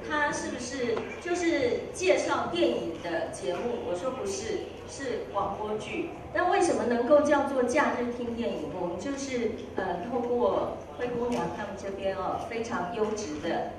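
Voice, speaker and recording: A woman's voice: on the high side, animated, normal speed, few pauses. A woman from a radio station giving earnest acceptance remarks. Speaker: radio station award winner. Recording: echoey hall, distant mic, background noise.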